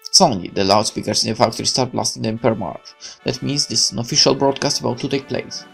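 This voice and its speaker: A man's voice: mid-range voice, quick pace, creaky. A man reading a visual novel aloud, stumbling now and then and dropping in muttered asides. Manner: stumbles over read lines, mutters asides. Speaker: gaming video maker. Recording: music under the voice. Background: game music.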